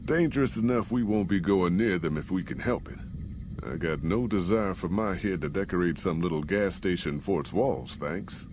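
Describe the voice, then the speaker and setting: Guy doing a gruff folksy american caravan boss, game dialogue, real deep drawl, noisy background. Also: normal speed, lightly acted. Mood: grim, warning. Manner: folksy, drawling frontier speech, plain-spoken. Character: gruff, folksy male caravan boss in a post-apocalyptic wasteland. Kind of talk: scripted video game character dialogue.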